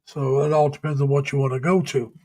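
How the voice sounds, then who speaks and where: A middle-aged man hosting a casual live stream, chatting with viewers in a folksy, rambling way, laughing often and pausing on many uhs. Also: mid-range voice, little pitch movement, mellow. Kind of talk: casual chat reading viewer comments. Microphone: home webcam or computer mic.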